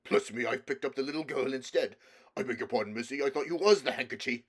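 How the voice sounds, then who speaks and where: A man's voice: medium pitch, quick pace, fairly bright tone, slightly rough, pitched above the performer's own voice. A man voicing a huge, good-natured, simple giant with polite, rustic speech. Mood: apologetic.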